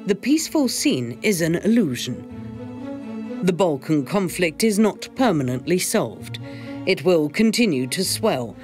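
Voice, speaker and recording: A woman narrating an educational film from a script in a measured, formal, explanatory manner. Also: fairly low pitch, darkish tone. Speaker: documentary narrator. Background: faint music.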